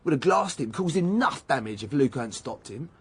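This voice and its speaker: Cocky London lad, husky, defensive. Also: male voice, a little high, big pitch swings, brisk, exaggerated voice. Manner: slangy, evasive, blustering. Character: cocky, defensive laddish male footballer under police questioning. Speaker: actor. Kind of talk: naturalistic radio drama acting.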